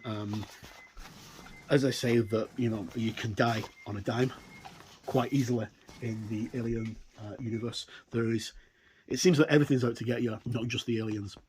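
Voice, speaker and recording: A man giving a casual, unscripted review as he leafs through a product, talking in halting, rambling short phrases. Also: mid-range voice, a little mellow, low-quality recording. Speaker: tabletop game reviewer. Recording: clear background noise with clicks.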